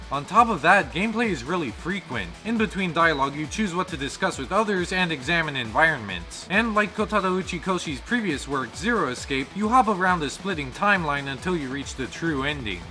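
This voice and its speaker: A man's voice: on the high side, big pitch swings, brisk, hardly any pauses, bright, forceful tone. A man reading a scripted video game review as narration. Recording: music behind the voice. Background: background music.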